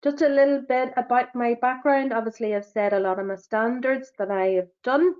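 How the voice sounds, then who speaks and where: A middle-aged woman with a Northern Irish accent presenting a business webinar, talking quickly with frequent fillers. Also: a little high, steady tone. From Northern Ireland. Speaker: sustainability assessor and webinar presenter. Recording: dull, lo-fi webinar audio.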